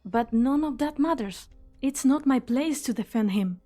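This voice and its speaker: A woman's voice: high voice, expressive, measured pace, mellow, soft background music. A woman in an amateur fan dub playing an earnest, idealistic young female scientist. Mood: earnest, defensive.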